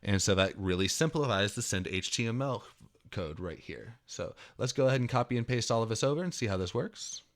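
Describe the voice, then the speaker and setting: Expressive man presenting a programming tutorial. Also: lowish voice, brisk. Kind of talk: dramatic reading of article mixed with casual screencast walkthrough.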